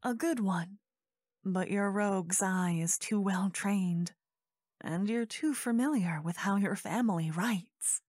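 A woman narrating a scripted second-person fantasy story in ASMR style, hushed, measured and suspenseful. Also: mid-range voice, no echo, clean studio sound.

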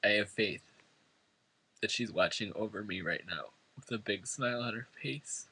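Husky low-voiced man giving a eulogy. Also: sharp tone, dry recording, low-quality recording. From New York State, US. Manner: earnest, emotional, deliberate. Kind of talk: reading a prepared eulogy. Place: funeral service before family and friends.

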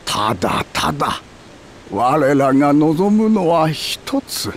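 An actor playing a solemn, peace-loving villager in a fantasy game, speaking with creaky deliberation. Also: male voice, a little high, big pitch swings, takes it slowly, a little hiss or hum, full character voice. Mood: solemn plea.

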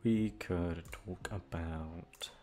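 A man's voice: low-pitched, fairly even intonation, extremely slow, a little mellow, faint music behind. A young man chatting off the cuff to his listeners, rambling and hesitant, with casual swearing. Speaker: ASMR audio creator, voice actor.

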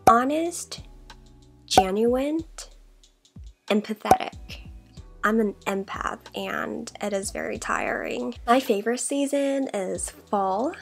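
A woman in her twenties from Taiwan, whose first language is Mandarin Chinese, answering questions about herself in a soft-spoken vlog. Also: mid-range voice. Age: 20s. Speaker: vlogger. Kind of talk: get-to-know-me Q&A vlog. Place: bedroom with shelves, indoors. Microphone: desk condenser mic in front.

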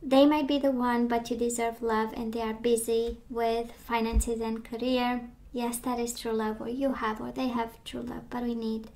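A woman giving a casual tarot reading, chatty and reassuring, her voice strained as she loses it and clears her throat. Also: fairly high pitch, level tone, normal speed, hardly any pauses, a little mellow. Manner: chatty, reassuring, many fillers and restarts. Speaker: tarot reader. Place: indoors at a table of tarot decks.

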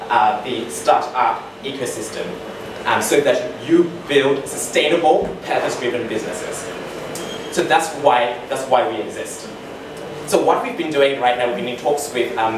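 A young man from Zimbabwe, a lawyer, telling his story in an earnest, expressive way on stage at a startup meetup. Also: medium pitch. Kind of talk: live stage talk with handheld mic. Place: large dark event hall, on stage. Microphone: handheld mic through PA, filmed from far. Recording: echoey hall, distant camera, noisy.

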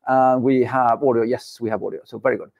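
A man's voice: medium pitch, flat delivery, fast, gravelly, darkish tone, dry recording, highs cut off. A middle-aged man hosting a live studio interview show, upbeat, chatty and joking with his guest. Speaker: podcast host. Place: studio set with dark backdrop.

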